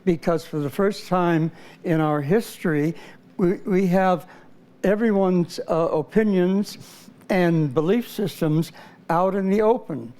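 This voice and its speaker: Hesitant mellow-voiced male guest. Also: high-pitched, unhurried. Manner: hesitant, many uh fillers and restarts. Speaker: numerology guest. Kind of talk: explanatory interview talk.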